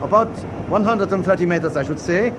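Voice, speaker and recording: A man's voice: high voice, a little fast, noisy background, close to an everyday voice. An actor playing a dutiful junior German U-boat officer in a radio drama, deferential and clipped in his reports. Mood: attentive.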